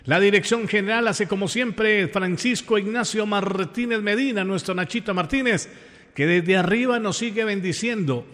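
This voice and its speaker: High-pitched male voice, Colombian accent, radio host, informal, affectionate, effusive, emphatic repetition, long reverberant echo. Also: moderate pace, fairly bright tone. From Pereira, Colombia. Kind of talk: informal radio sign-off talk to listeners.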